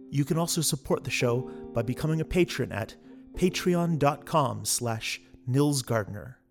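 A man hosting a podcast, reading scripted sponsor messages and announcements. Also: mid-range voice. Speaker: podcast creator and host. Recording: dry voice over faint music.

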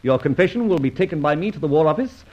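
An actor playing a suave, vengeful gentleman adventurer and ex-officer, speaking with theatrical, mocking irony in an old radio drama. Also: a little high, expressive, fast talker. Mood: triumphant. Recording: muffled old radio recording.

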